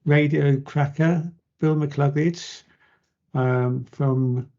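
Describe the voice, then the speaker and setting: A man's voice: on the high side, fairly even intonation, drawn-out words, mellow. A man hosting a podcast interview, casual and joking in his questions. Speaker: podcast host. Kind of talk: conversational interview. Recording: dull lo-fi sound.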